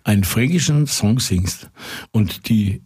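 A middle-aged man with a husky, slightly breathy voice talks as a guest singer. He tells his stories with lively energy, filling gaps with frequent hesitations. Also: lowish voice, takes it slowly. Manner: lively storytelling, many hesitations. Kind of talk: casual interview chat.

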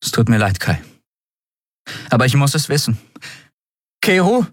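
A man voicing a stern, agitated young woman in an audiobook, questioning in a sharp, demanding and emotional way. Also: medium pitch, very animated, a little slow, slightly rough, no room sound, studio quality, voice pushed up. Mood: hurt, insistent.

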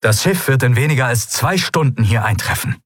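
A man's voice: fairly high pitch, sing-song, moderate pace, husky, dry recording, voice pushed up. A man voicing an eager male official who pleads earnestly with his ruler, in a raised voice.

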